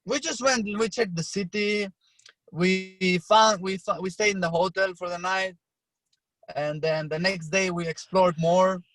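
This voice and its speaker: A young man with an Albanian accent, whose first language is Albanian, telling a story as a podcast guest, animated and full of restarts. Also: sits high, measured pace, dry recording, rough recording. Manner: animated, acts out his own past lines with frequent restarts. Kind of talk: casual storytelling.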